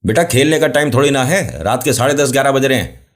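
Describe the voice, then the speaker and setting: A man voicing an elderly village uncle who urgently and insistently scolds a youngster for being out in the dark. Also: low voice, normal speed. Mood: scolding.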